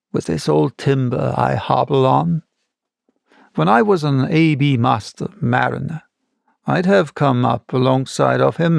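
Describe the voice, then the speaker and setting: A man's voice: a little high, creaky, no echo, clean studio sound, heavily acted. A man voicing a boisterous one-legged old sailor and tavern keeper in salty, exclamatory seafaring dialect for an audiobook. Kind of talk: audiobook character voice.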